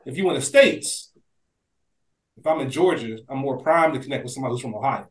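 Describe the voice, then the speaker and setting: Echoey, higher-voiced man on a podcast. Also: animated, fairly quick. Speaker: podcast co-host. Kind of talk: casual discussion. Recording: echoey room sound.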